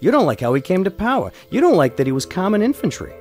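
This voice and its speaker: An actor playing a blunt, streetwise henchman with American wiseguy phrasing, questioning his boss in an animated fantasy drama. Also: high-pitched, fast talker, soft background music. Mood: needling.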